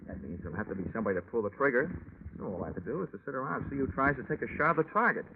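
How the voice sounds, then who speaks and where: A man's voice: mid-range voice, lively intonation, rapid-fire, background noise, close to an everyday voice. An American actor playing a wry, slangy, hard-boiled male insurance investigator in an old-time radio detective drama. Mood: cocky. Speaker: lead actor and narrator. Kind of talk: 1940s-50s radio detective acting, hard-boiled narration. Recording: old radio recording, narrow tinny band, music.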